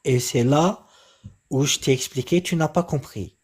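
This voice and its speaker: Brief: young man with a husky voice, measured and stubborn in a live debate. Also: medium pitch, a little mellow. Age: young adult. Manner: slow, deliberate, repeats his point. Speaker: debate participant.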